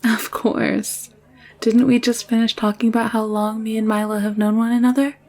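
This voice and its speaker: A woman playing a warm, headstrong, protective young woman in a scripted audio roleplay, coaxing and playful with an assured manner. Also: on the high side, flat delivery, normal speed. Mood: earnest, determined.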